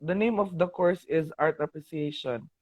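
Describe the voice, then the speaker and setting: A young Filipino man in his twenties, a college art teacher calling in as a fan, speaking in a shy, halting and polite way over a video call. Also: on the high side, a little mellow, no room sound. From Philippines. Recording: tinny dry video-call audio.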